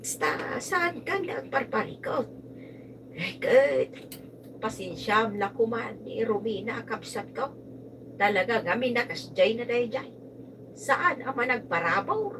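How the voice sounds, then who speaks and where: A woman with a Filipino accent playing an emotional, expressive mother in a radio drama. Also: on the high side, measured pace. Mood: earnest, concerned. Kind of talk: Ilocano radio drama acting. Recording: distant mics, noisy amateur live recording.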